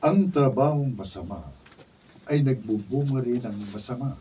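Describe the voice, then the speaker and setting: A man with a Filipino accent reading scripted narration and closing credits as a radio drama announcer, measured and formal. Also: mid-range voice, music in the background. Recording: distant mic, dull noisy room recording.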